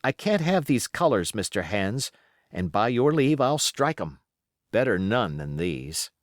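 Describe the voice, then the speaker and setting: A man acts out a plucky boy who has made himself captain. The storybook hero speaks in bold, formal declarations with a lifted, very lively pitch and a dry sound. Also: a little slow, no echo. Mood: triumphant. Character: plucky, cheeky boy hero taking command of a ship.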